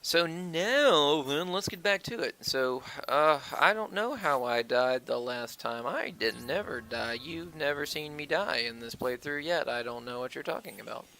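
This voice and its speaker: A man giving casual, rambling Let's Play commentary, crude and profane with self-deprecating jokes and halting delivery, on a cheap microphone. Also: a little high, expressive, gravelly, sharp tone. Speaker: gaming video host. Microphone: worn-out stand-by microphone. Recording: cheap mic with wonky audio.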